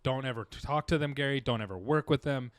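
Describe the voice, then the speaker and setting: A man's voice: mid-range voice, fast talker, dry recording, studio quality. A man, a dental marketing guest in a casual interview, talking earnestly with many restarts. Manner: earnest, rambling, full of restarts.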